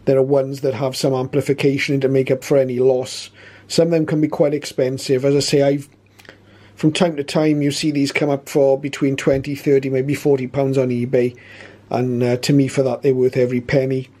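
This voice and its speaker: A man with a soft, mellow voice talks casually through a piece of hobby kit. His delivery is measured and matter-of-fact, at a middling pitch, like a host explaining things from a small room at home. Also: flat delivery. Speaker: radio hobby channel host. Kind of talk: casual explanatory product talk.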